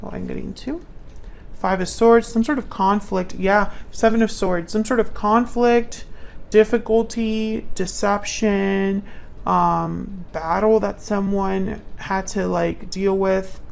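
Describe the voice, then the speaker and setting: A woman's voice: mid-range voice, steady tone, takes it slowly, creaky, darkish tone, a little hiss or hum. A woman doing a casual, intuitive tarot reading, thinking aloud as she talks directly to the listener. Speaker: tarot reader, channel host.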